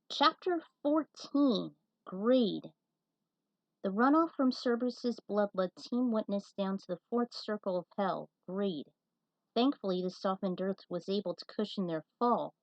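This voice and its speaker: A woman narrates a story as an amateur host, with an informal delivery and casual asides. Her pitch is middling for a woman and the recording is dry, with very little room sound. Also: no echo, lo-fi sound. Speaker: host and reader of a fan fiction series.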